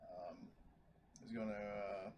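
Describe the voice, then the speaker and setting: A man playing a tabletop roleplaying game, chatting casually in a halting, hesitant way. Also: lowish voice, flat delivery. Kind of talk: casual in-character and out-of-character game chat. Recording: clearly noisy mic with clicks.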